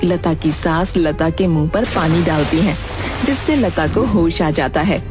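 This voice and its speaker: A woman's voice: on the low side, measured pace. Female storyteller over background music. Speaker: narrator. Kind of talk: scripted storybook narration.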